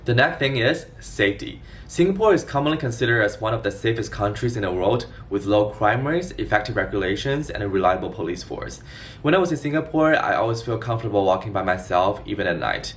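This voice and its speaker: A man in his twenties from China giving a scripted explainer vlog in a clear, organized way. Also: lowish voice, animated, a little fast, distant mic, faint music behind. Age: 20s. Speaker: vlogger.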